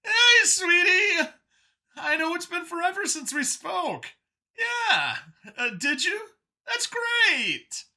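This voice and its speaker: A man voicing an anxious alien father who pleads and gushes haltingly in a strained voice, for a story. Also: very animated, pushed and bright, exaggerated voice. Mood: excited, doting. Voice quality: high, strained voice. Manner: halting, hesitant, gushing and apologetic by turns.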